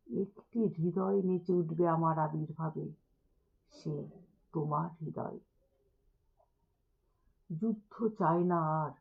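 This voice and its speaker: Slow, creaky-voiced woman reciting poetry. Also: lowish voice. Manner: measured, deliberate phrasing with expressive pauses. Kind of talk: dramatic Bengali poetry recitation. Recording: thin, band-limited phone-like sound.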